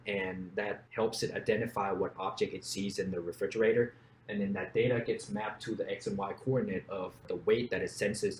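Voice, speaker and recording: A young man from Thailand, a startup co-founder, explaining his product in a steady business pitch. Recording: roomy echo, faint background noise.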